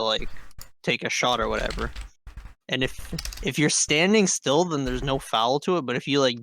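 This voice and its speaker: Creaky-voiced young man. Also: medium pitch, fairly bright tone. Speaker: podcast co-host. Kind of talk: casual chat among friends.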